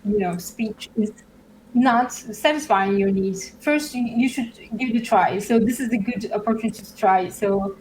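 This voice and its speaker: A woman whose first language is Turkish, casually demoing software as a guest, with many fillers and frequent small laughs. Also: medium pitch, normal speed, slight background noise, rough recording. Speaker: guest developer advocate. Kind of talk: casual tech demo chat.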